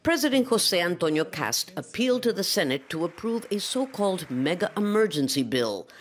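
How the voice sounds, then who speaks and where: A woman narrating a scripted television news report as a correspondent. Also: low voice. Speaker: Latin America editor, correspondent.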